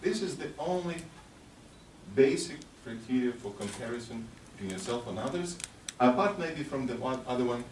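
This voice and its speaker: A man's voice: mid-range voice, darkish tone, distant mic. Male conference speaker, mid-range voice, encouraging but hesitant delivery, heard from afar in a noisy hall. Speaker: speaker addressing a youth conference. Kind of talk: motivational speech with audience questions. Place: large echoing hall at a conference ceremony. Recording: echoey hall from afar, background noise.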